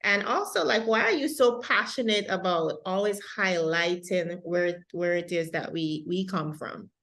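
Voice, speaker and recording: A woman's voice: on the low side, unhurried, a bit bright. A woman hosting an interview, speaking with effusive praise and rambling restarts. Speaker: host.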